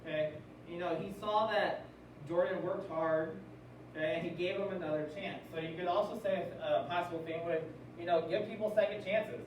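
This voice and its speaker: A man's voice: high voice, steady tone, moderate pace. An American man speaking as a teacher in a classroom, reading aloud and explaining, often checking in with questions. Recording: very echoey room, distant mic, noisy.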